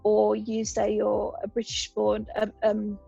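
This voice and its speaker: A woman's voice: medium pitch, monotone, a little slow, gravelly, warm tone, husky, highs cut off, soft background music. A woman in her thirties from Uganda, a podcast guest explaining her views in a thoughtful, example-driven way. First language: a Ugandan language. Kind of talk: conversational interview.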